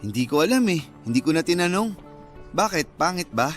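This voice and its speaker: A modest young mechanic, in a Filipino radio drama. His voice is high for a man, polite and casual with a shy edge, over faint music. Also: sing-song, a little fast. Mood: casual, bashful.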